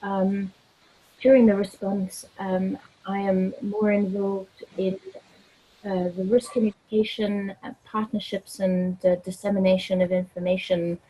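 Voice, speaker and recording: A woman's voice: medium pitch, little pitch movement, a little slow, warm tone, slightly rough, low-quality recording. A woman from Zimbabwe introducing herself as a panelist on a video call, friendly and slightly hesitant. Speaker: panelist, health official.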